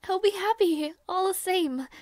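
A woman playing a devoted, anxious young maid in an audio roleplay, speaking softly and politely with halting hesitation. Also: very high-pitched, dry recording, studio quality. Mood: sad, wistful.

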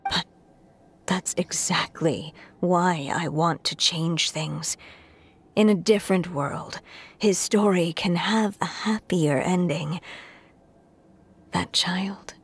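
A woman voicing a gentle, loving storyteller in a video game, with a soft, breathy, tender delivery. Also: on the low side, fairly even intonation, slow, pauses often, heavily acted. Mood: earnest, hopeful. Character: gentle, loving woman storyteller, short-lived creator of a child.

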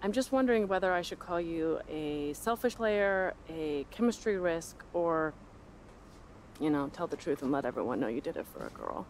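An American woman in her thirties playing a sharp, ambitious sports reporter in a video game, with a pointed, provocative manner. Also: deep voice, sing-song, moderate pace, slight background noise. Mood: teasing, sardonic. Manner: pointed, sardonic, self-assured. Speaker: sports journalist character. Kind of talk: video game cutscene dialogue.

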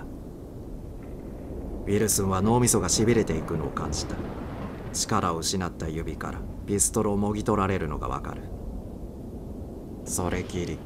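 A man's voice: fairly low pitch, background noise. A man reading a suspense short story aloud as a dramatic audiobook narrator.

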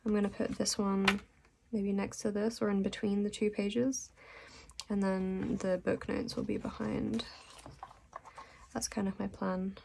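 A young woman who is sick and losing her voice, talking softly in a rambling casual voice-over about her journal. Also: mid-range voice, level tone, a little hiss or hum. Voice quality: hoarse. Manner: soft, unhurried and rambling with little laughs. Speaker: vlogger.

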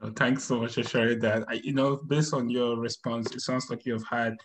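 A man's voice: mid-range voice, little pitch movement, slight background noise. Male podcast host, thirties, mid-range voice; hesitant, conversational delivery on a video call. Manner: halting, with many hesitations and repeats. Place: indoor room, video call.

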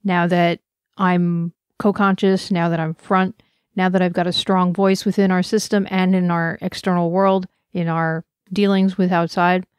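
A woman's voice: lowish voice, level tone, a little slow, clean tone, no echo, studio quality. A woman giving a personal, earnest conference talk, speaking with passion and reflection. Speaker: conference presenter.

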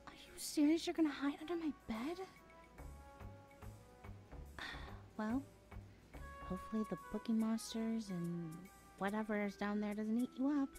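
A woman's voice: high-pitched, unhurried, long pauses, faint music behind. A woman voicing a nervous but defiant younger sibling in an audio roleplay, hesitant and flustered. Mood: hushed exasperation. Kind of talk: amateur audio roleplay acting.

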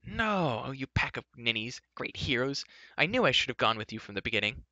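A gruff little fair-folk creature who snaps and blusters at everyone, as a man puts him on for a storybook reading. The voice is loud, bright and tense, and the dull, dry recording sits close. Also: medium pitch, sing-song, a little fast, no room sound. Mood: snappish. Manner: snappish, blustering.

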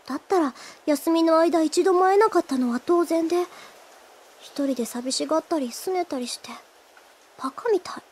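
A woman's voice: very high voice, normal speed. A woman playing a shy, earnest, easily flustered teenage schoolgirl in an anime audio drama. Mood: wistful. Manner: flustered, hesitant, girlish.

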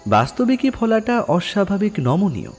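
A man narrates a horror story in a dramatic, scripted storytelling style. His pitch moves in lively swings over a faint bed of music. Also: moderate pace.

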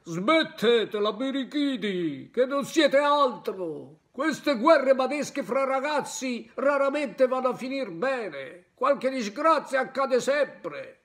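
A reader voicing an old crab who scolds with a hoarse, booming voice. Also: expressive, slow pace, hardly any pauses, fairly bright tone, full character voice. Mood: scolding.

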